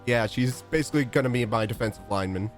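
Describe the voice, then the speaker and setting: A man's voice: mid-range voice, quick pace, faint music behind. A man co-commentating a group let's play, asking curious questions about the game. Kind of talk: casual gameplay chat.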